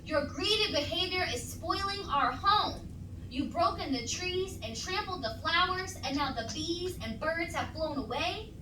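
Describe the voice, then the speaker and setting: A woman voicing a brave, earnest girl in a storybook, making a loud, impassioned protest. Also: very high voice, expressive, unhurried, voice pushed up. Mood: impassioned plea. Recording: roomy, distant mic, noisy home recording.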